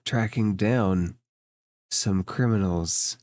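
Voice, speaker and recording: A man runs a tabletop fantasy game as its game master. His voice is fairly low and he narrates very slowly, with measured pauses. The sound is close and dry. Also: darkish tone, no echo.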